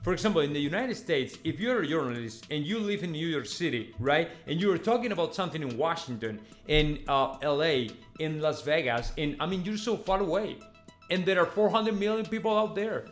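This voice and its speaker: Higher-voiced man over music. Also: lively intonation, fairly quick. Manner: conversational, emphatic, repeats phrases, frequent tag questions. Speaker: football vlogger and fan channel host. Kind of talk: casual news commentary to viewers.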